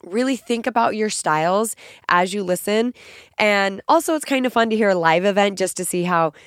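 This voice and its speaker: A woman hosts her own podcast with a naturally raspy voice, roughened further and thick with nasal congestion from a cold. She speaks upbeat and emphatic, leaning on words to encourage her listeners. Her voice is recorded close and dry, with no room sound. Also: medium pitch, normal speed, no echo. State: sick, extra nasal and raspy. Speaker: podcast host and fitness coach. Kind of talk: solo podcast monologue.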